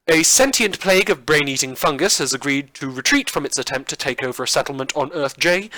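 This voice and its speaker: A man's voice: on the high side, dry recording, rough recording. Man putting on a campy, swooping radio newsreader. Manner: campy, grandly enunciated, deadpan over grim news. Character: campy, theatrical dystopian radio newsreader with mock-cheerful gravity. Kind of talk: scripted parody news broadcast.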